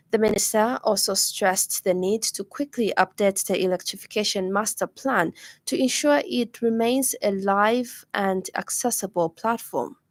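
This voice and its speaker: A woman's voice: mid-range voice, dry recording. A woman with a Zambian accent formally reading a scripted radio news bulletin in a studio.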